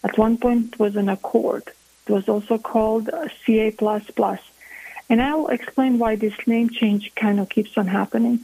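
A woman's voice: on the high side, fairly even intonation, normal speed, vocal fry, warm tone, husky, dry recording, rough recording. A woman from Croatia with a Croatian accent, a family physician earnestly explaining her views as an interview guest. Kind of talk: interview answers.

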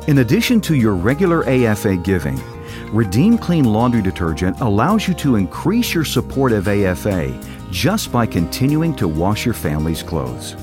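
A man's voice: on the low side, very animated, moderate pace. A man reading a scripted radio advert as its announcer. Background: music.

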